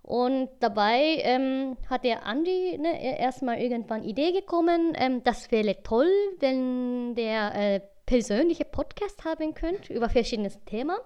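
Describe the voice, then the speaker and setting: A woman's voice: high voice, expressive, measured pace, few pauses, a little mellow, clear, resonant tone, lo-fi sound. A Japanese woman co-hosting a podcast in German, her second language, hesitant and searching for words, with a Japanese accent. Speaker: podcast co-host. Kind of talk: casual podcast chat.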